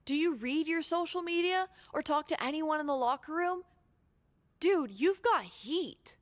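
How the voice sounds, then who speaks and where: A woman's voice: high voice, lively intonation, unhurried. A woman playing a sardonic, unimpressed wrestler co-host in a video game, with dry, teasing delivery. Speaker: wrestler co-hosting a show. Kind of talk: scripted video game cutscene acting.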